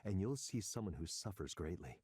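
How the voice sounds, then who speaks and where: Dark-voiced, grandiose male tech executive. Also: low-pitched, sing-song, slight background noise. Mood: smooth persuasion. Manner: measured, insistent salesman's delivery of grand visions. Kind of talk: visual novel game voice acting. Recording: music behind the voice.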